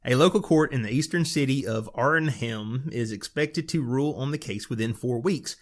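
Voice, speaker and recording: A man's voice: mid-range voice, moderate pace. A middle-aged man, co-host of a casual podcast, reading odd news stories aloud and chuckling. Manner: chuckling, amused storyteller.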